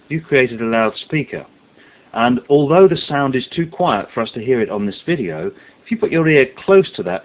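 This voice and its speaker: A man presenting a hands-on science tutorial, explaining each step methodically and stressing the key words. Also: medium pitch. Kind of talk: step-by-step instructional demonstration. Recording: muffled lo-fi audio with background hiss.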